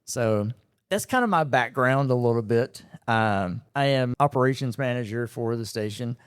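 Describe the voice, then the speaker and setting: Middle-aged radio host in casual storytelling. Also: male voice, mid-range voice, moderate pace, clear, resonant tone, dry recording, studio quality. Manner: relaxed storytelling with frequent fillers. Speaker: radio morning show host and operations manager. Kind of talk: casual interview chat.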